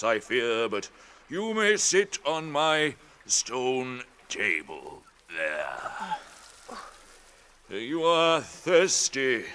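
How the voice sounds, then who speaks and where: An actor playing an ancient, kindly tree-giant in a British radio drama, ponderous and musing, with old-fashioned phrasing and a temper that can be stirred. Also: male voice, medium pitch, pushed and bright, clear, resonant tone, heavily acted.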